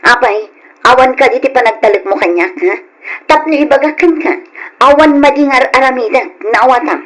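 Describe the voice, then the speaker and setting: A woman's voice: high voice, fairly quick, a bit bright, low-quality recording. An actress with an Ilocano accent playing a nagging, aggrieved young wife and mother in an old radio drama. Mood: indignant.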